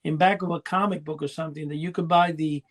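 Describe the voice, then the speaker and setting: A man's voice: a little high, steady tone, quick pace. A middle-aged or older man co-hosting a podcast, talking in a rambling, conversational way. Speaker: podcast co-host. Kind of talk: casual panel chat.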